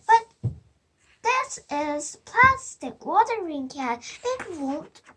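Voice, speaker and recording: A woman's voice: very high voice, big pitch swings, drawn-out words, pushed and bright, a little hiss or hum, exaggerated voice. A girl of about seven voicing a protesting little sister in a storybook. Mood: pleading. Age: child, about 7.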